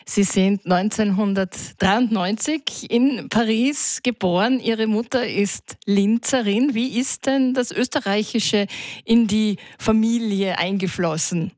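A woman's voice: medium pitch, takes it slowly, a little mellow, no room sound. A woman hosting a radio interview, asking composed, well-prepared questions into a studio microphone.

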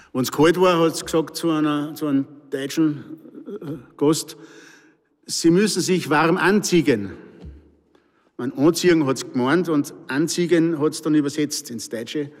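An older man with an Austrian Pinzgau accent telling and reading wry, dryly humorous stories to listeners. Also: on the high side. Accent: Austrian, Pinzgau region. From Pinzgau, Salzburg, Austria. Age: 60s or older. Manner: wry, dry humour. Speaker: storyteller, author reading own stories. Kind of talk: live anecdotes and reading to an audience. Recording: echoey hall with long reverb.

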